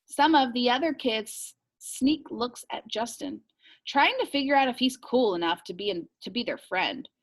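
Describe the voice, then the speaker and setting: A woman's voice: on the high side, expressive, moderate pace. A woman teacher reading a picture book aloud expressively and asking young listeners questions.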